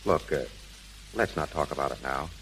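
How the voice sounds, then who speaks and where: An actor in a 1930s radio drama playing a terse, guarded gang boss who passes as a gentleman. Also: very deep voice, moderate pace, poor audio. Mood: guarded, uneasy. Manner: terse, evasive, hesitant. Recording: hissy, humming 1930s radio broadcast.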